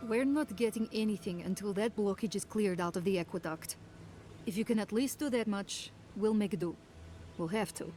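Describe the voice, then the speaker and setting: An actress playing a worried, practical settlement leader in a video game, plain-spoken and pressing. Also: female voice, medium pitch, normal speed, mellow, soft background music, close to an everyday voice. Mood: worried, resolute. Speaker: community leader. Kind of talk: naturalistic video game dialogue.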